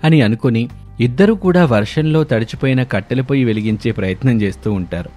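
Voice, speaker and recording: A man's voice: mid-range voice, brisk, mellow. A man reading a scripted children's story as its narrator. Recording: music under the voice. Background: background music.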